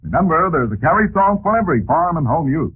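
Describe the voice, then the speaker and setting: An American man announces on 1940s radio in a lively, slightly high voice, reading scripted advertising. The recording is tinny with faint hiss. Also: fast.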